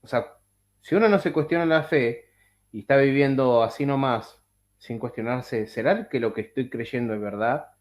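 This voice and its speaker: A man hosting a casual chat over a video call, rambling and halting with many fillers and restarts. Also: medium pitch, a little mellow. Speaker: podcast host.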